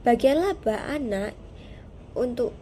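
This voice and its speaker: A woman's voice: fairly high pitch, lively intonation, takes it slowly, mellow, slight background noise. A young woman giving a prepared class presentation as a student, explaining step by step in a formal, methodical way. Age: young adult.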